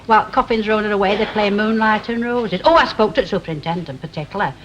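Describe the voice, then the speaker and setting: A woman's voice: mid-range voice, fast talker, muffled recording, natural voice. An actress playing a bossy, nosy older woman neighbour with a Lancashire accent and a brusque, gossipy delivery, in 1960s television soap style. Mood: brusque, prying. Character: blunt, nosy, bossy older female neighbour and hall caretaker. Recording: old noisy 1960s television soundtrack.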